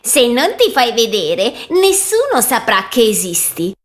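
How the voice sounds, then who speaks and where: A woman's voice: on the high side, wildly swooping pitch, unhurried. A woman voicing a chiding female firefly in a children's fable, with an admonishing sing-song manner.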